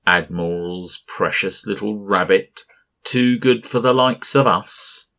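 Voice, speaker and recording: A man voicing a giant gaseous male creature who mocks and menaces in a slow, leering drawl. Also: on the low side, vocal fry, sharp tone, heavily acted. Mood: mocking. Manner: taunting, drawn-out leering delivery.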